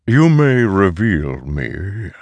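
Guy doing a mysterious voice-in-your-head spirit, super slow, calm, bossy, sure of itself. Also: low voice, dry recording, voice pushed up, full character voice. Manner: slow, calm, self-assured. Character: mysterious, imperious disembodied voice claiming mastery.

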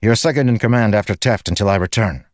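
A man voicing a brisk, businesslike military captain in an audiobook. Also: lowish voice, dry recording, studio quality, voice pushed up, natural voice. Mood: brisk, intent. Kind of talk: audiobook character acting.

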